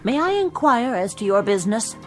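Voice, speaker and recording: An actress playing a brisk, fussy, proper boarding-house landlady of an earlier century in a radio drama, formal and inquisitive. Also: mid-range voice, very animated, faint music behind, close to an everyday voice.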